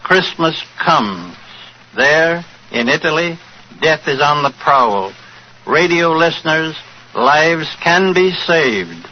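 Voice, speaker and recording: A man speaks gravely and with deliberate emphasis, like a formal orator making an appeal. His pitch is a little high. The recording is a muffled 1940s radio broadcast with faint background noise. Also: lively intonation.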